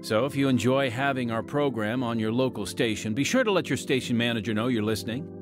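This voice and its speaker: A man's voice: medium pitch, quick pace. A man with an American accent hosting a scripted radio program, earnest and emphatic in a polished announcer's delivery. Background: music.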